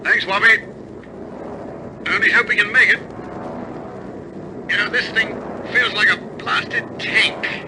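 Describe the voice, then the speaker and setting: Bomber captain. Raised pitch, commanding, colloquial, 1950s radio acting, heavy aircraft din. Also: male voice, fairly even intonation, unhurried, pauses often. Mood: commanding, urgent. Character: bomber captain steering a crippled aircraft, steady under strain. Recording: old radio recording, loud aircraft engine noise.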